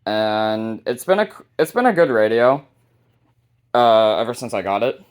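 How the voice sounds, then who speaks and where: A man with a fairly low voice presents items from his collection in a casual, unscripted way. His delivery is informal and somewhat halting, like a hobbyist host talking as he goes. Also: animated, clean tone. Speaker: hobbyist video host showing a radio collection. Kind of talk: casual unscripted product showcase.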